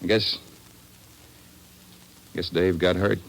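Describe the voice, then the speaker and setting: Tough bitter pilot in old radio drama, low gruff voice, guarded. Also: male voice, fairly even intonation, takes it slowly, exaggerated voice, poor audio. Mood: defensive, bitter. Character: tough, guarded, resentful male cargo pilot. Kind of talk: vintage radio drama acting.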